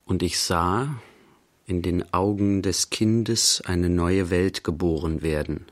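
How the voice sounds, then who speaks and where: A man playing a detached narrator in a literary radio drama, speaking in terse, solemn, measured phrases. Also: low-pitched, steady tone. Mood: contemplative.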